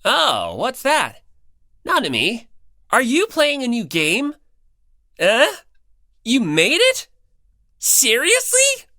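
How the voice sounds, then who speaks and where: An audiobook reader, a woman, playing an eager, excitable teenage boy mechanic. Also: a little high, takes it slowly, pauses often, dry recording, studio quality, voice pushed up, exaggerated voice. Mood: surprised.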